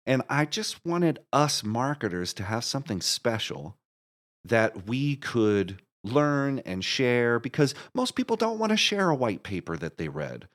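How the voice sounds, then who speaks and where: A man answering questions as an interview guest in a casual, rambling way, often halting and interrupting himself. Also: mid-range voice, sing-song, fairly bright tone. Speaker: interview guest, marketer and musical creator.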